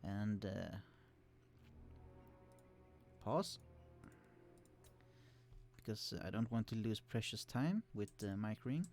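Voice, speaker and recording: A man casually commenting on a strategy game he is playing, explaining units with many fillers and restarts, his voice kept quiet and turned down in the recording. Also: fairly low pitch, lively intonation, slow pace, long pauses, faint music behind. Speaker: let's play host. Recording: quiet voice, turned down to hide computer hum.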